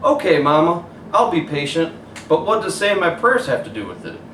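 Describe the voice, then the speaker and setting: An earnest, curious young boy from a storybook, read by a man, downcast yet hopeful. The voice is lifted in pitch and comes from a little way off in an echoey room. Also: very animated, moderate pace, a bit bright. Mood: eager, curious. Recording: roomy echo, distant mic, faint noise.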